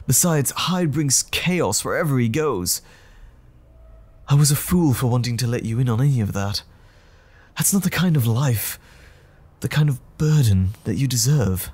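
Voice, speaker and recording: A man playing a polite, guilt-ridden gentleman teacher in an audio drama, speaking earnestly and hesitantly in formal phrases, with a breathy, husky voice. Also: mid-range voice, lively intonation, normal speed. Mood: remorseful, earnest. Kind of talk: audio roleplay drama acting.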